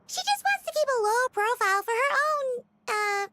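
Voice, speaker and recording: Super high squeaky little sprite, bright and chirpy, eager helper, game voice. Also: female voice, sing-song, normal speed, exaggerated voice. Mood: hasty, evasive. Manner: chirpy, hedging, eager to reassure. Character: tiny childlike cheerful companion sprite, eager helper. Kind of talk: video game voice acting.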